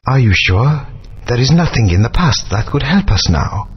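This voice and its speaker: An actor playing an ancient, solemn male spirit who speaks in a measured way. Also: mid-range voice, big pitch swings, slight background noise. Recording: dull lo-fi game audio.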